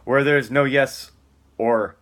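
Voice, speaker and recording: A man's voice: mid-range voice, fairly even intonation, takes it slowly, fairly bright tone. A man giving a dramatic, theatrical spoken-word reading, moving between emphatic lines and muttered casual asides.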